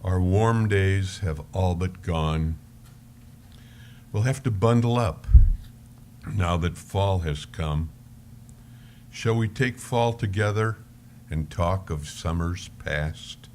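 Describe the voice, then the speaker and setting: Man reading as elderly devoted husband, deep voice, unhurried, wistful stage love letter, faint noise. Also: darkish tone. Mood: tender, wistful. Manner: measured, poetic, tender. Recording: live stage recording with background noise.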